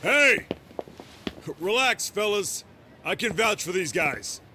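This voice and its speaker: An actor playing a brash, sardonic and streetwise police detective in a video game, casual and wry. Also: male voice, sits high, expressive, takes it slowly, noisy background. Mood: barking.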